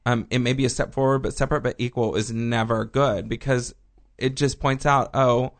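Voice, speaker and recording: Gravelly man rambling through news. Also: medium pitch, steady tone, brisk. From Texas. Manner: rambling, many ums and restarts. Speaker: podcast co-host. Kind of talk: casual news chat.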